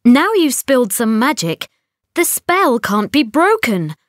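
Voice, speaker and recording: Woman playing a sorrowful, slow-spoken storybook prince. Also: high-pitched, big pitch swings, no echo, clean studio sound, voice pushed up. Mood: anguished. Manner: groaning, sorrowful. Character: anguished young royal prince under a troll's spell.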